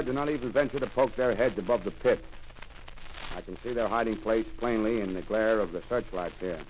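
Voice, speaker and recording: An actor playing a brisk, overconfident army signal captain reporting from the field in a 1930s radio drama. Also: male voice, lowish voice, lightly acted. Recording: scratchy 1930s radio recording.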